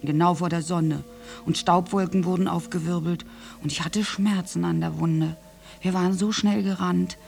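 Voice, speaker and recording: An actress playing a bitter, war-scarred orphaned young woman in a radio play monologue, halting and intense. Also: on the low side, darkish tone. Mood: haunted, grim. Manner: halting, intense, dropping into breathy whispers. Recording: music behind the voice. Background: music.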